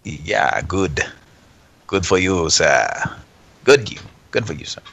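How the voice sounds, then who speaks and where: Very deep gravelly host with a cold. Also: male voice, expressive, fairly bright tone, no room sound. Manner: rambling, halting. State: stuffed up from a cold. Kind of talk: casual live show chat.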